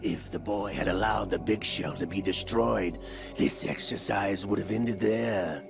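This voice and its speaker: Older villain, deep voice, cruel, theatrical, condescending, video game cutscene, dramatic music behind. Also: male voice. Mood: smug, expository. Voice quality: gravelly. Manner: theatrical, condescending, drawn-out emphasis. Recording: loud dramatic score behind. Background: cinematic game music.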